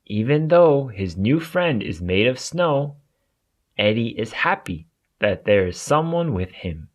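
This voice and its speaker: A man narrating a children's storybook aloud in an expressive way for young listeners. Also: mid-range voice, unhurried.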